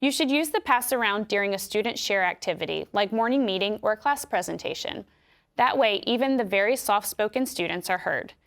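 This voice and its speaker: A woman with an American accent giving scripted instructions in a clear, measured way. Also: mid-range voice, animated. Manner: clear, measured explanatory delivery. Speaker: instructional video narrator.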